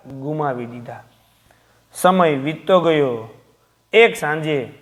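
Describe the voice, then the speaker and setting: A man reading a scripted, sentimental moral story as a storyteller. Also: on the high side.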